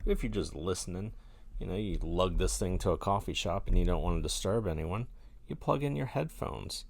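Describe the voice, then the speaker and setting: A man's voice: deep voice, lively intonation, moderate pace, creaky, darkish tone. A man casually demonstrating gear as host, rambling and jokey in a colloquial, self-mocking way. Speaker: host of a vintage gear video channel.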